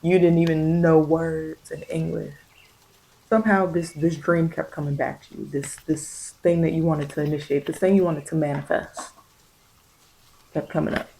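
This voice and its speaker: Lady doing asmr tarot, kinda low and really mellow voice, halting and repeats herself, reassuring spiritual stuff. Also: level tone, creaky, low-quality recording. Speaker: tarot reader. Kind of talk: soft ASMR tarot reading, spiritual guidance.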